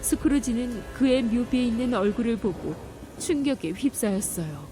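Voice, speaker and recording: A woman narrating a children's fairy tale in a gentle, clear storytelling manner. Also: a little high, animated, moderate pace. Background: music.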